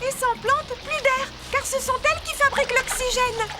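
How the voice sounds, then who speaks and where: A tiny cartoon canary with an extremely high voice. The bird is fussy, haughty and prim, and loud music plays behind it. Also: female voice, steady tone, moderate pace. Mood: prim, instructing. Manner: huffy, prim, know-it-all. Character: small touchy artistic canary, haughty and fussy. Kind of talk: French cartoon dub.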